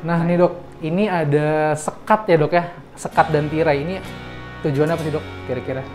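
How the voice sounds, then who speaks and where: A man's voice: fairly high pitch, fairly even intonation, moderate pace. A young man hosting a casual guided tour of a hospital clinic, upbeat and chatty, ending his lines with tag questions. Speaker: host. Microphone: lapel mic. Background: soft background music.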